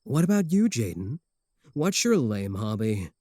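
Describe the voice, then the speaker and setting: A man's voice: on the low side, very animated, a little slow, dark tone, no room sound. A man playing a cocky, obsessive, easily offended werewolf in love, boasting earnestly and throwing dry sarcastic jabs in a comedic ASMR roleplay.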